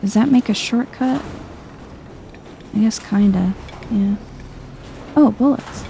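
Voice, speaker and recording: Female gameplay streamer, ASMR-style: soft, unhurried, mellow delivery, casual and chill, faint noise behind her. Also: on the high side, gravelly. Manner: chill, murmuring to herself. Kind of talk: soft-spoken casual game commentary, ASMR vibe.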